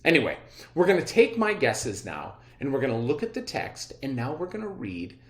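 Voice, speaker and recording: A male schoolteacher with a medium-pitched, lively voice leads a read-aloud lesson. He explains as he goes, stopping to wonder aloud and question his listeners. The room adds noticeable echo. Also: moderate pace. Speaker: elementary school teacher leading a reading lesson. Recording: roomy, echoing sound.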